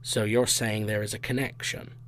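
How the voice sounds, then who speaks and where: A man playing an appreciative, measured boss in an audio drama, with a gravelly voice. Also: fairly low pitch, flat delivery, fairly bright tone, slight background noise, lightly acted. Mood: curious.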